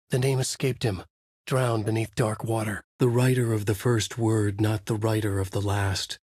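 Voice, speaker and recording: An actor playing a haunted male horror writer who narrates in a measured, brooding way in a dry studio booth. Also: fairly low pitch, darkish tone, husky, no echo. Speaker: video game character narrating. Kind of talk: game voice lines, dark narration. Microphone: studio mic.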